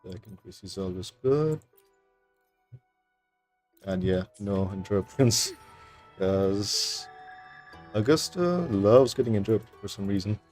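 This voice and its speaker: A man's voice: on the low side, animated, a little slow, pauses often, a little mellow. A man streaming games, giving casual, rambling, laid-back commentary on his play. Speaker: game streamer. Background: faint game music.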